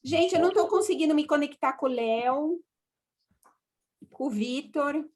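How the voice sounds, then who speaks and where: High-voiced woman on a call. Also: normal speed, a little mellow. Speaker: participant. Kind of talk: casual video call chat. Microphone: video call.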